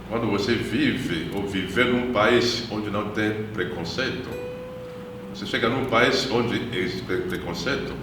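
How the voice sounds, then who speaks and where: A man in his fifties speaks as a missionary priest, telling his story in a measured, reflective way. His voice sits very low. It rings through a strongly echoing room, with guitar music playing clearly behind him. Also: recorded from a distance. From Democratic Republic of the Congo. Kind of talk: personal testimony in an interview.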